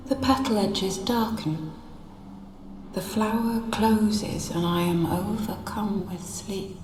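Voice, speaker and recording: A woman playing a melancholy, dreamy noblewoman in a video game, with hushed, wistful, formal speech. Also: medium pitch, natural voice. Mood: weary, resigned. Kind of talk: video game dramatic acting. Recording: roomy echo, noisy game ambience.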